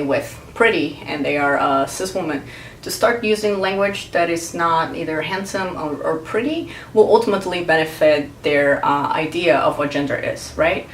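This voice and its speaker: A woman, a Portuguese speaker, explaining her views to camera in a thoughtful, earnest way and pausing to search for words. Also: low-pitched, recorded from a distance, a little hiss or hum. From Brazil. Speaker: vlogger. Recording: roomy echo, mic set back.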